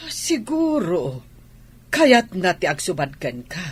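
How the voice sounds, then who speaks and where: A woman's voice: fairly low pitch, big pitch swings, slow, breathy edge, exaggerated voice. A woman playing a kindly, caring, motherly figure in an audio drama, speaking encouragingly with an Ilocano accent. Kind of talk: dramatized radio-style acting.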